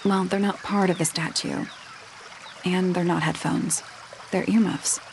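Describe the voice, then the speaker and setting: A woman playing a blunt, observant detective's sidekick with deadpan, laconic delivery in a mystery adventure game. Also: lowish voice, measured pace, vocal fry, slight background noise. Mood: blunt, unimpressed. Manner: deadpan, laconic, matter-of-fact.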